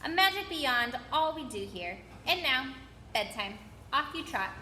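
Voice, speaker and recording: Storybook old wizard headmaster, played by a woman: kindly, eccentric, stately, bright and high. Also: sing-song, unhurried, reverberant, slight background noise, full character voice. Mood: cheerful. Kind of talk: children's storybook character reading.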